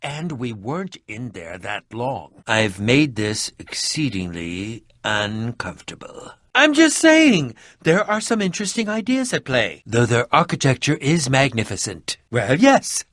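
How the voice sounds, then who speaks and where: An eccentric game adventurer with a bright, forceful voice that swings widely in pitch. He is pompous and sardonic, choosing formal words and delivering them with theatrical flair. The sound is dry and close. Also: wildly swooping pitch, a little slow, hardly any pauses, no echo, heavily acted. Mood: dry, uneasy. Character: eccentric, prickly, melodramatic bearded male adventurer. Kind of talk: animated video game voice acting.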